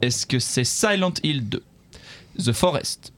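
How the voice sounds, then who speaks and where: A man's voice: mid-range voice, animated, takes it slowly, a bit bright. A man hosting a live quiz game, reading out questions and bantering playfully with the contestants. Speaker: quiz game show host.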